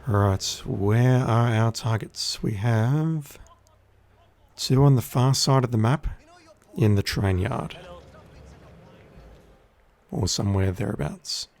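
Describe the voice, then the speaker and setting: An Australian man giving relaxed, chatty commentary as he plays a game on stream, with a wry humor. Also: medium pitch, takes it slowly, creaky, pauses often. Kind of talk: casual gameplay commentary.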